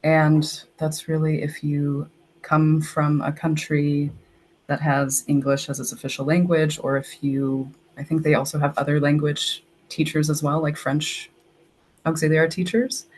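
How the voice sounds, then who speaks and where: A woman's voice: deep voice, level tone, a little slow, a little mellow, slight background noise, low-quality recording. Chatty young woman from Texas. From Texas, United States. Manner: chatty, uses "like" often, storytelling. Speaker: guest, English language assistant. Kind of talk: casual interview.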